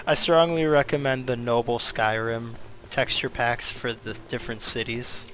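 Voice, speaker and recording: A man's voice: medium pitch, steady tone, moderate pace, a bit bright, a little hiss or hum, rough recording. A man giving casual gameplay commentary as a streamer, rambling and thinking aloud as he goes. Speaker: game streamer. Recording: game music behind the voice. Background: video game music.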